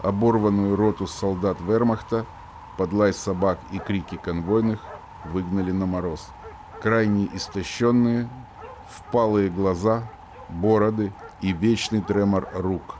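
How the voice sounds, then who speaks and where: A man's voice: lowish voice, steady tone, a little slow, darkish tone, slight background noise. A man giving scripted dramatic narration for a radio play.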